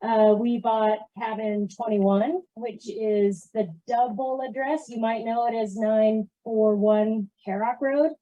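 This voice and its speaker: High, mellow-voiced middle-aged woman. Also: steady tone, takes it slowly, few pauses, no echo, highs cut off. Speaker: new cabin owner introducing herself. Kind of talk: casual self-introduction.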